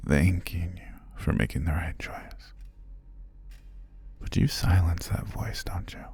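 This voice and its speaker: A man playing a whispering, gravelly, menacing captor. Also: darkish tone, exaggerated voice. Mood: teasing, menacing. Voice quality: breathy, husky whisper with gravelly low murmur. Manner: slow, savoring, drawn-out phrases with pauses. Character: menacing obsessive male captor, gloating and possessive. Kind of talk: ASMR roleplay, intimate scripted monologue.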